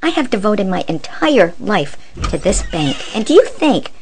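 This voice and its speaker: A woman's voice: mid-range voice, sing-song, normal speed, slight background noise. A woman playing a jaded, sardonic bank clerk with a polite front in an amateur radio play. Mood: bitter, fed up.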